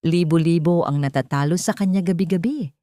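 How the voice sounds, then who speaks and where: A woman voicing a serious male family chauffeur in an audiobook drama, speaking low and confiding. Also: brisk, dark tone, dry recording, clean studio sound, lightly acted.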